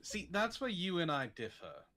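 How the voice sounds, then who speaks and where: Teasing man, lively mock-menacing voice. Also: sits high, normal speed, very noisy. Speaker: stream co-host. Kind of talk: playful banter on a live stream.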